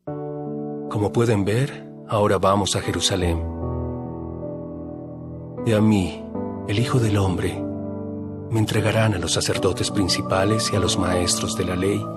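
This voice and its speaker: A man playing a calm, authoritative male teacher, speaking in a measured, solemn way in a dramatized audio Bible. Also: fairly low pitch, natural voice. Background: soft music.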